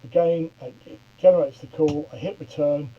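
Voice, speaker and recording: A middle-aged man giving an informal software demonstration in a halting way, often stammering and repeating words. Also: a little high, dull audio. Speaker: presenter of a software tutorial.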